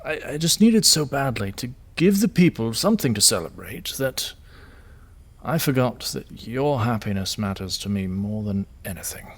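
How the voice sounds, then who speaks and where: Guy acting as a tired middle aged dad in charge, gentle, unsure of himself, bit wry, audio drama. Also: mid-range voice, animated, moderate pace. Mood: apologetic, tender. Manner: hesitant, apologetic, gently wry. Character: weary, self-doubting, tender father and troubled leader.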